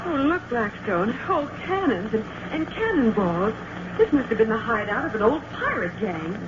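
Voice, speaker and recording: Wisecracking plucky gal sidekick, old radio show, super lively, muffled noisy audio. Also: female voice, on the high side, normal speed. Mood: curious, excited. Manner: breezy, slangy, exclamatory. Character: plucky, excitable, wisecracking female adventure sidekick. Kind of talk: old-time radio drama acting.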